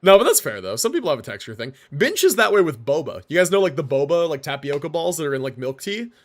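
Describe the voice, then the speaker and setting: A man's voice: on the high side, very animated, quick pace. A young man streaming games, chatting casually with slangy, profane phrasing. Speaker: game streamer. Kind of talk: casual livestream chat.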